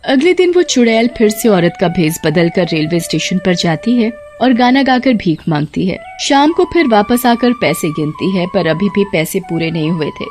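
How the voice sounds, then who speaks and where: A woman narrates a scripted story. Her delivery is highly animated at a medium pitch, with quiet music underneath. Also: normal speed, few pauses.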